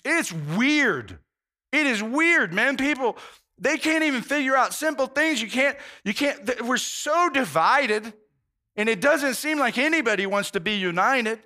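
High bright-voiced earnest male pastor. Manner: earnest, informal, with frequent restarts and "man". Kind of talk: conversational sermon.

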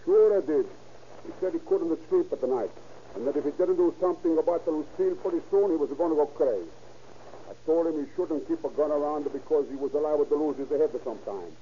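An actor playing an excitable, defensive immigrant witness with in a 1930s radio drama. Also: male voice, a little high, fast talker, close to an everyday voice. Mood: insistent. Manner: emphatic, defensive. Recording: muffled, noisy 1930s radio recording.

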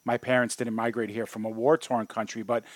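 A man's voice: mid-range voice, level tone, brisk, no room sound. A man from Brooklyn, co-hosting an interview and asking questions conversationally, with many "you know"s. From United States (Brooklyn). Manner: friendly, uses "you know" often. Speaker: podcast co-host. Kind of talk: casual interview.

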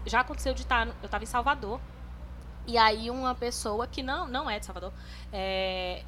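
A young woman from northern Brazil talks through her points in an unhurried, chatty way. Her voice sits a little high and rings bright and crisp. A faint hiss runs under the recording. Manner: relaxed, explanatory, chatty. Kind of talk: casual group chat.

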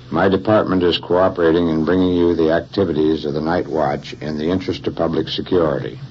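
An American man giving a stiff, official scripted radio address as police chief. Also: extremely low pitch. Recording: muffled, hissy 1950s radio recording.

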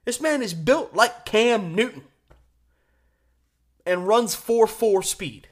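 A man hosting a sports radio show solo, giving opinionated, emphatic commentary with deliberate pauses and rhetorical questions. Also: high voice, animated.

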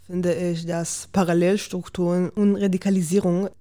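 Young woman, low and mellow. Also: level tone. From Israel. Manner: careful, deliberate non-native phrasing. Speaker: panel guest, language student. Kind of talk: panel discussion.

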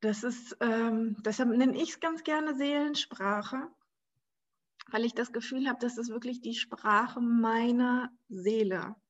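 Solo podcast host, German woman, slightly high voice, unhurried and musing, a bit lo-fi. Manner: relaxed, searching, frequent ums and soft laughs. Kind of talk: casual solo podcast monologue.

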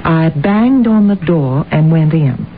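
Prim housekeeper on tinny old radio. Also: female voice, sits low, animated, slow, a little hiss or hum, exaggerated voice. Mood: earnest. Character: prim, earnest female housekeeper giving testimony. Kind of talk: old-time radio drama acting.

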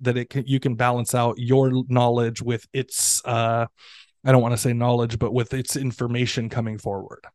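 A man speaks as a podcast guest, loosely and conversationally, full of fillers, restarts and little acted-out quotes. His voice sits at an ordinary middle pitch. The recording is dry and close, with no room sound. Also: a little mellow, no room sound. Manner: rambling, many fillers and restarts, quotes others in his stories. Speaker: ESL professor and guest. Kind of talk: casual interview talk.

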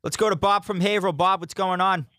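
A man's voice: high voice, steady tone, quick pace, sharp tone, no echo, studio quality. A young man hosting a call-in sports radio show, who talks hesitantly and fills it with "you know". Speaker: radio host.

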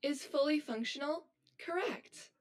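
A woman's voice: sits high, unhurried. A woman acting as an anxious, hollow female robot in an audio drama, speaking in formal, stilted, literal phrases. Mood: flat, empty.

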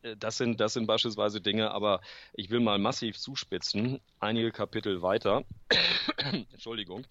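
A man speaks in a low, gravelly voice, roughened by a cold that makes him clear his throat. He answers in a halting way, with many fillers and restarts. The sound is dry and dull, like a lo-fi home recording. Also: steady tone, pushed and bright, dry recording. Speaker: author guest. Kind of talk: interview answers. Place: room full of books at home.

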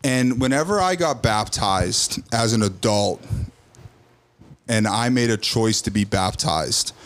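A man, a pastor, in a casual podcast conversation, talking earnestly and repeating phrases for emphasis. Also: lowish voice. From Serbian heritage, raised Serbian Orthodox.

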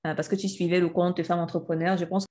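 A woman's voice: on the low side, little pitch movement, fast talker, a little mellow. A woman hosting a podcast, talking in a friendly, chatty way and often repeating words while she looks for the next one. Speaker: podcast host. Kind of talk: casual podcast conversation.

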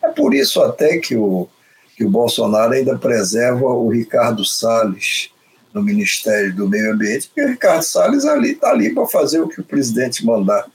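A man with a Brazilian accent, a panel commentator who speaks skeptically and somewhat haltingly about a new minister. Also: mid-range voice, big pitch swings, breathy edge, rough recording. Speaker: political commentator.

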